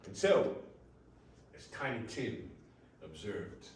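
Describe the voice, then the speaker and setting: A man narrating a story in a theatrical readers theater style, with emphatic pauses. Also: fairly low pitch, very animated. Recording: very echoey room, distant mic, faint noise.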